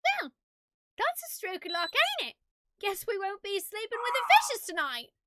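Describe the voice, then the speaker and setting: A woman voicing a feisty, hot-tempered, cocky young female thief from a fantasy game, often loud. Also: very high-pitched, wildly swooping pitch, moderate pace, noisy background, pitched above the performer's own voice, heavily acted. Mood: cocky relief.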